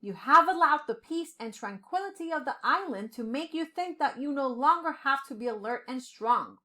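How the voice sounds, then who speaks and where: A woman's voice: sits high, expressive, moderate pace, bright, forceful tone, pitched above the performer's own voice. A woman voicing a proud, stern male parrot who rules the forest, speaking in a commanding, regal storybook manner. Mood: disgusted, stern. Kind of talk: storybook character voice.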